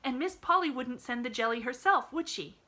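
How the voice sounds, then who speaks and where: A woman voicing an excitable young rural housemaid who speaks in a country dialect and gushes breathlessly. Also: sits high, a little fast, pitched above the performer's own voice, exaggerated voice. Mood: excited.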